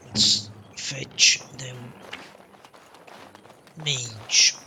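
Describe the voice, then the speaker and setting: A man's voice: medium pitch, steady tone, extremely slow, pushed and bright, slight background noise. A man live streaming a game, casually thinking aloud and muttering to himself as he reads menus. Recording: clear game music behind voice. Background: video game music.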